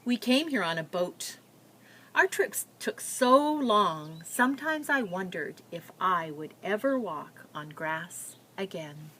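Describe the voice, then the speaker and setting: A woman reading a picture book aloud, telling the story and pausing with simple explanatory asides for young listeners. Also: mid-range voice, expressive, sharp tone.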